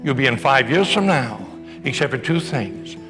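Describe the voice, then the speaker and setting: A man's voice: mid-range voice, expressive, moderate pace, over music. A man giving a motivational speech with punchy repetition and humor. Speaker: motivational speaker.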